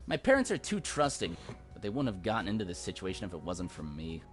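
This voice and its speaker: A sardonic young man, lively pitch. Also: quick pace, soft background music. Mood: bitter, guilty. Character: guilt-ridden young man, a bitter house employee. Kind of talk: video game voice acting.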